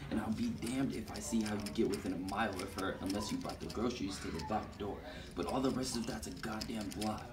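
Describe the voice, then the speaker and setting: Low-voiced, blustering jealous husband, played by a man for a puppet show; indignant; distant, roomy mic. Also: fast talker, background noise, natural voice. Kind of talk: amateur puppet show reading. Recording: roomy, from far off.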